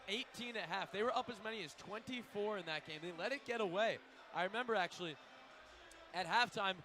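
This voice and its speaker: Loud, high-voiced young sports analyst. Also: male voice. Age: young adult. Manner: emphatic, loud, stat-heavy. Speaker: student radio basketball cohost. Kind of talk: live basketball pregame analysis. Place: courtside in a packed arena. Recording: faint arena noise behind.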